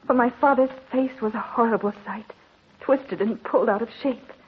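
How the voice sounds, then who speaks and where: An actress playing an anxious, devoted young married daughter in an old-time radio drama, earnest and theatrically emotional. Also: female voice, on the high side, normal speed, close to an everyday voice. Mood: sorrowful narration. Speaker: narrator and lead character. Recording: lo-fi noisy old radio recording.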